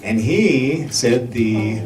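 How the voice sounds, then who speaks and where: A man's voice: mid-range voice, animated, drawn-out words, a little mellow, echoey room, far from the mic. A man hosting a radio show live on stage, chatting casually with his co-host and the audience.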